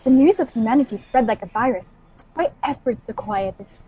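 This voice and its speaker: A woman's voice: fairly high pitch, expressive, a little fast, dark tone, slightly rough, low-quality recording. A woman playing an admiring, authoritative space officer in a radio play. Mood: earnest.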